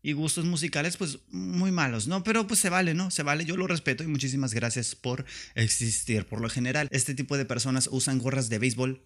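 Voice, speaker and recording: A man's voice: mid-range voice, a little fast, few pauses. Slangy northern Mexican man, sarcastic. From Tijuana, Mexico. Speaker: podcast host. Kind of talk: comedic monologue rant.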